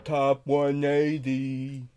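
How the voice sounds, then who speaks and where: A man playing a childlike, confused, easily upset grown man on a prank call, halting and repeating words insistently. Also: medium pitch, completely flat delivery, mellow, clear, resonant tone. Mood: timid, plain.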